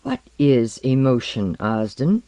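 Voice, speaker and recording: A narrator voicing a self-controlled, prickly woman with a quiet, restrained delivery in a story reading. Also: mid-range voice, very animated, slow, no echo. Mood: quiet, calm.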